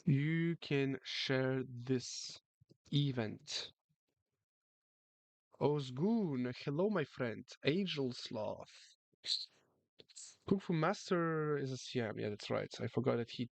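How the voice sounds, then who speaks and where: A man's voice: medium pitch, expressive, slow, vocal fry, long pauses, dry recording. A man chatting casually on a live chess stream, reading the chat and often repeating his words. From France.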